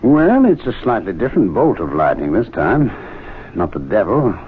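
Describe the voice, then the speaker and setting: An actor playing a calm gentleman club member with a dry, wry way of talking. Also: on the low side, normal speed, breathy edge, heavily acted. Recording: tinny 1970s radio broadcast with faint noise.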